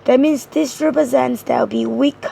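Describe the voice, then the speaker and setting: A hoarse woman giving a tarot card reading, explaining each card point by point in an earnest way. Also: high-pitched, moderate pace, mellow, a little hiss or hum. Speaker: tarot reader.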